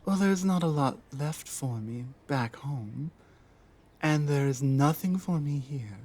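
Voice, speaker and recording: Woman playing a slow-spoken, guarded orc warrior woman. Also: low-pitched, expressive, dark tone, full character voice. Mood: lonely. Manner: halting, earnest, deliberate phrasing with pauses. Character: lost, guarded young female orc warrior, earnest and lonely. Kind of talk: ASMR roleplay audio drama.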